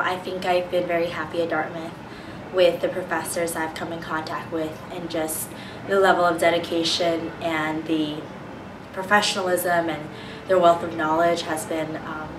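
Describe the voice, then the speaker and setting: A woman's voice: on the low side, steady tone, normal speed. A young adult woman, a college student, giving a thoughtful opinion in an interview. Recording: echoey, distant, noticeable background noise.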